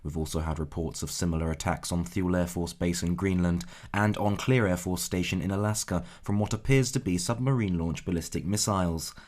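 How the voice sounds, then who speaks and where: A man's voice: deep voice, steady tone, a little fast, few pauses, a little mellow, natural voice. A man playing a serious news presenter, delivering scripted breaking news in a formal, controlled manner. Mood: urgent, controlled.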